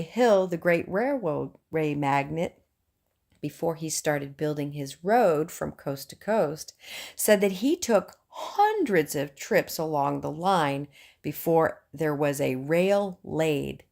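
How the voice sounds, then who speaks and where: Female audiobook narrator, slightly low voice, reading scripted prose. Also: creaky, a little mellow. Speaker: audiobook reader.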